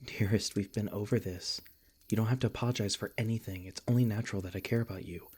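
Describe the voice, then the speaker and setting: A man playing a protective, tender monster hunter in an ASMR roleplay, speaking in a gentle, halting, earnest way with a husky, breathy voice. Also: on the low side, fairly even intonation. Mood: tender, concerned. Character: protective, tender male monster hunter comforting his beloved. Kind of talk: ASMR roleplay, soft intimate acting.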